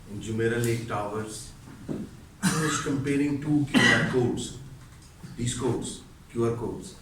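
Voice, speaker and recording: A man telling a tall tale as a prepared speech to an audience. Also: medium pitch. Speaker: contest speaker. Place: echoey room at a speech contest. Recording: roomy echo, distant mic, faint noise.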